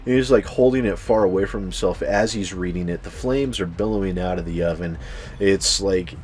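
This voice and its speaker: A man telling a personal anecdote in a casual, sweary, animated storytelling style, as the host of a video. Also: low-pitched, normal speed, a little hiss or hum.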